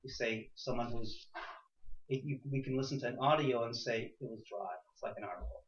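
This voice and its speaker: A man's voice: mid-range voice, brisk. A man giving an interactive class to a small group, asking rhetorical questions and repeating and rephrasing himself. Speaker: teacher leading a class. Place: indoor room with a few students. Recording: echoey room, dull muffled sound.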